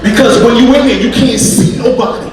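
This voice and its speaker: A man doing a stand-up comedy set, animated, acting out bits with loud yelps and squeaky voices. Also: fairly quick. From United States. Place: comedy club stage. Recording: distant, echoey live club recording with loud crowd.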